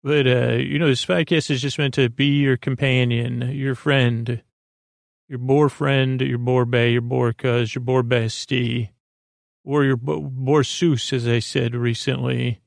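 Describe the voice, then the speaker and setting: A man hosting a sleep podcast, rambling haltingly through a meandering bedtime monologue, often stammering and restarting as he wanders into tangents. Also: medium pitch, level tone, gravelly, no echo, clean studio sound. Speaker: podcast host.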